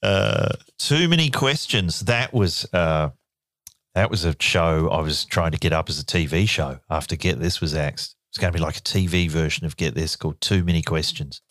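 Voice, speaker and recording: A man in his fifties, co-hosting a podcast in casual chat, wry and quick to laugh. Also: a bass voice, fairly bright tone, dry recording, studio quality. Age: 50s. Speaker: podcast co-host.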